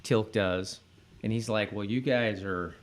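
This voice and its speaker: A man's voice: on the low side, unhurried. A man co-hosting a podcast, chatting casually in a loose, somewhat hesitant conversational way. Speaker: podcast co-host. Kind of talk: casual chat about a TV episode.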